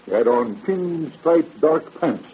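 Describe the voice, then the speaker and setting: Husky, folksy old night watchman. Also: a little high, expressive, slow, full character voice. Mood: eager, obliging. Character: talkative, civic-minded older laundry night watchman, folksy and obliging. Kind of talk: old-time radio drama acting. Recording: muffled old radio drama recording.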